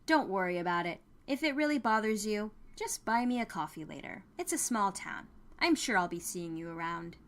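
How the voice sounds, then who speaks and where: A woman's voice: medium pitch, lively intonation, normal speed, hardly any pauses, lightly acted. A woman voicing a friendly, apologetic male clerk in a small town, casual and matter-of-fact, for an audio story. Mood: easygoing. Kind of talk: dramatized audiobook character dialogue.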